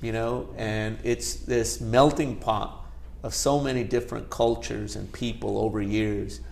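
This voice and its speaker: A man's voice: fairly low pitch, steady tone, a little slow, vocal fry, a little mellow. Middle-aged man born in India, Gujarati native speaker; chatty interview guest, keeps tossing in "you know". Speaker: guest, company founder. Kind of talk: casual interview conversation.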